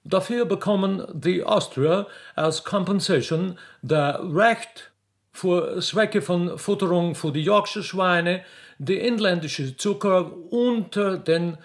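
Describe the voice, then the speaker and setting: A pompous British envoy in a radio comedy. He has an English accent and drags his halting sentences out slowly in a highish, creaky voice, groping for each word. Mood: halting, formal. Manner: halting, hesitant, groping for words. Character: stammering pompous British envoy, mangling German.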